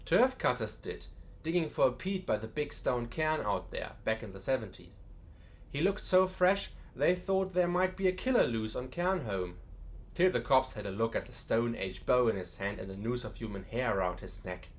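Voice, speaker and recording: A man's voice: mid-range voice, animated, normal speed, natural voice. A man playing a genial, wry museum curator in an audiobook drama, chatty and dryly joking with a macabre humour. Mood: wryly amused.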